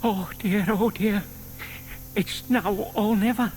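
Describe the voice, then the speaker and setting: An older man acting a timid, lovestruck gentleman in a children's audio drama, earnest and nervously muttering. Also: high-pitched, fairly even intonation, slow pace, slight background noise. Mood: nervous, excited.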